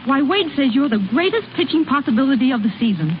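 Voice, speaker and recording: An earnest young small-town woman in a vintage radio drama. Her coaxing, direct voice is fairly high, coming through a thin, phone-like old broadcast. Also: big pitch swings, fast. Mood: earnest, urging. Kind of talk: 1930s-40s radio drama acting. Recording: thin, narrow-band vintage radio recording, faint noise.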